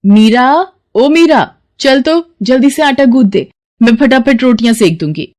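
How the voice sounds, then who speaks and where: A worn-out, hardworking widowed mother in a storybook tale, as a woman puts her on. She scolds in a hurried, sharp way, and her voice stays mellow and dark under the edge. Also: sits high, lively intonation, pitched above the performer's own voice. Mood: scolding.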